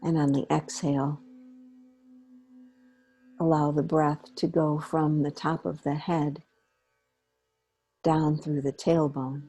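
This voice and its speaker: A woman leading a guided meditation in a gentle, unhurried way, pausing between short phrases. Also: deep voice, level tone, husky, faint music behind. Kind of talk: guided meditation, slow soothing instruction.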